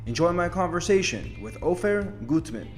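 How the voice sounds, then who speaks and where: A man's voice: on the high side, big pitch swings, moderate pace, loud music behind the voice. A man hosting a podcast, reading a polished intro and asking his guest questions. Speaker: podcast host. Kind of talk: scripted intro and interview questions.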